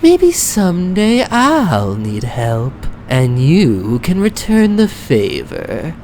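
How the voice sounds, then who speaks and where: A woman acting female roles in a comic book dub, from anxious wife to sly thief, in a measured, dramatic way. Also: low voice, wildly swooping pitch, warm tone, slight background noise, exaggerated voice. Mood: sly, cool.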